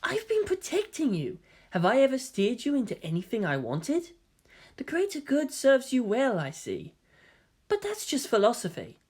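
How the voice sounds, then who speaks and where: A woman voicing a gentle, elegant teenage boy in a story reading, soft-spoken, polite and earnest. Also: on the high side, huge pitch swings, normal speed, husky, pitched above the performer's own voice, heavily acted. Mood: mournful. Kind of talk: dramatic fan fiction character reading.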